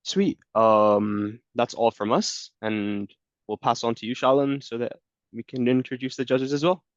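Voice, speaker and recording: A man's voice: mid-range voice, steady tone, a little slow, dry recording. A man running an online student competition on a video call, announcing rules in a businesslike way. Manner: businesslike and clear. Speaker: competition organiser. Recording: dull dry video call audio.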